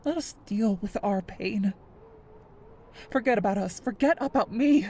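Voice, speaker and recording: A woman's voice: medium pitch, unhurried, a little mellow, a little hiss or hum, natural voice. An actress playing a weary, grieving mother in a video game, leaving a pleading yet resolute voicemail. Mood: bitter, grieving. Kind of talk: dramatic voicemail monologue in a game.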